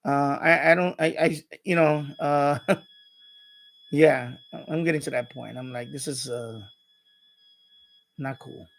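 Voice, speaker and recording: A man's voice: medium pitch, steady tone, slow pace, vocal fry, pauses often. An older man hosting a live stream with casual, rambling chat, often hesitating and repeating himself.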